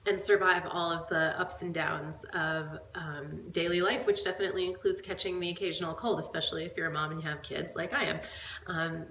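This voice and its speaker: A woman's voice: sits low, fairly bright tone, reverberant, slight background noise, rough recording. Stuffy-nosed woman fitness coach, chatty. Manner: chatty, rambling, many fillers. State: stuffed up with a cold. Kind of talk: casual talk to camera. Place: at home.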